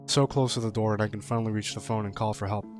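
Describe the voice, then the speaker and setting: A man's voice: fairly low pitch, fairly even intonation, fast talker, faint music behind, lightly acted. A man voicing a bitter, despairing figure full of self-loathing in a dramatic horror-story reading. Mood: despairing regret.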